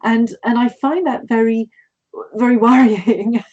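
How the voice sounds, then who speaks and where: A woman's voice: on the high side, fairly even intonation, unhurried, a little mellow, highs cut off. A woman author from Britain, a guest in a casual conversation, speaking thoughtfully as she searches for words.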